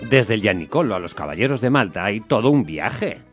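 A man's voice: on the low side, sing-song, a little fast. An actor playing a weary, put-upon working-class taxi driver who tells his story in a colloquial, grumbling way in a radio drama. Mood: resigned narrating. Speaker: first-person narrator and lead actor. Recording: music under the voice. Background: music.

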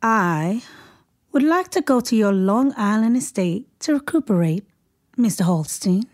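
A woman's voice: medium pitch, animated, a little slow, a little mellow, natural voice. An actress playing a refined, insistent woman in a period radio drama, speaking formally and pointedly. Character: insistent, refined woman visiting a hospitalised man.

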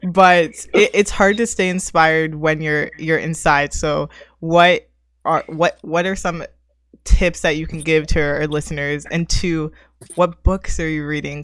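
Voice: medium pitch, a bit bright, no room sound. An informal podcast host with a mid-range voice chats with guests in a casual, slangy style. The sound is close and dry, with very little room around it. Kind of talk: casual interview.

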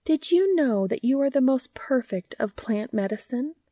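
A woman's voice: sits high, animated, normal speed, dark tone, no room sound, studio quality, voice pushed up. A woman voicing a loving, nurturing female gardener in a storybook, speaking tenderly and soothingly. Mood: gently admiring. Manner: tender, lulling. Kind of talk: storybook character reading.

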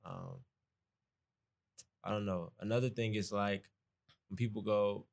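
A young man from East Atlanta with a Southern Atlanta accent, a college student talking earnestly in a relaxed podcast chat. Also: lowish voice, a little slow, creaky. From East Atlanta, Georgia. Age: young adult. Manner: relaxed, earnest, conversational, dialect grammar. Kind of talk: casual podcast conversation.

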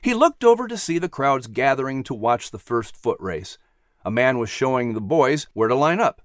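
A man with a medium-pitched voice narrates a children's story as its announcer. His delivery is theatrical and expressive, with lively rises and falls. The recording is close and very dry. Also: normal speed, dry recording.

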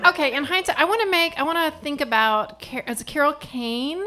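A woman co-hosting a live movie podcast, with a fairly high voice. She talks quickly and gives firm opinions while poking fun at herself. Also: animated, sharp tone. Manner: quick, self-deprecating, opinionated. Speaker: podcast co-host. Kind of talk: casual movie chat at a live show.

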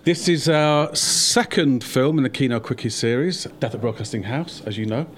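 A man's voice: medium pitch, moderate pace, a crowd in the background. Man hosting a podcast, wryly humorous. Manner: wry, self-deprecating humour. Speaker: podcast host. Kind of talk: podcast introduction and informal event hosting.

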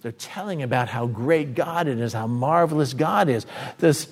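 A man preaching a teaching sermon, speaking with animated swings between fast bursts and slow, emphatic words. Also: medium pitch. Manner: animated preaching with sudden swings in pace and loudness. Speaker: pastor.

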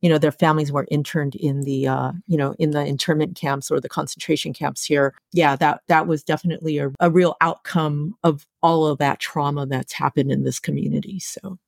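A podcast host whose first language was Japanese, reflecting calmly with frequent "you know" fillers. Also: mid-range voice, brisk, creaky, few pauses, no room sound, studio quality. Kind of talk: casual podcast conversation.

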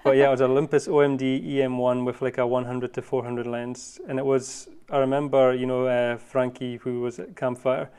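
A man talking as a guest in a casual interview, relaxed and easygoing. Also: mid-range voice, a little fast, few pauses, clean tone. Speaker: guest wildlife photographer.

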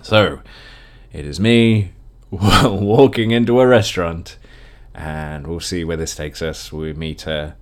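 A man with a fairly low voice hosts a casual solo podcast. He rambles in a jokey, informal way, cutting into his own sentences as he goes. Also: slow pace. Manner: rambling, jokey, self-interrupting. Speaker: podcast host.

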